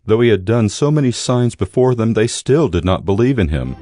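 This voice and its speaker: A man giving scripted Bible narration as a measured storyteller. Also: fairly low pitch, sing-song, warm tone, no room sound, studio quality. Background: music.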